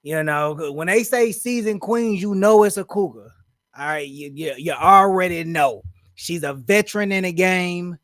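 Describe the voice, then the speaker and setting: A man's voice: sits high, unhurried, fairly bright tone, dry recording, studio quality. A man hosting a reaction video, talking in a loose, joking, slangy way. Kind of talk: casual reaction commentary.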